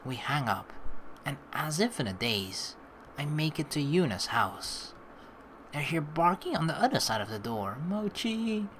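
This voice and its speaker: A woman hosting a let's play, reading a visual novel aloud as expressive narration. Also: rumbling low, unhurried, a little hiss or hum. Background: game music.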